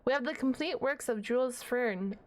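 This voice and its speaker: A woman playing a shy, nerdy, easily flustered young half-dragon librarian in an intimate ASMR roleplay, halting and stammering. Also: on the high side, natural voice. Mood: nerdy, proud. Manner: bashful, halting, stammering, nervous giggles.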